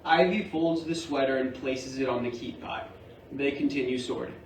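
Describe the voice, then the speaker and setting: A man reading stage directions as scripted narration for a play, measured and deliberate. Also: medium pitch. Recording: roomy, distant mic, faint noise.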